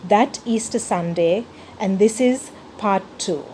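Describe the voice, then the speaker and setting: A woman from Sri Lanka, an author reading her own book aloud in an expressive, animated storytelling manner. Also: medium pitch, slow pace, slight background noise.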